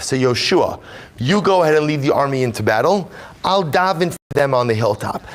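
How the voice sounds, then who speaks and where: A man teaching a Torah class as a rabbi. He reads Hebrew source text aloud, explains it in English, and repeats his key points for emphasis. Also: a little high.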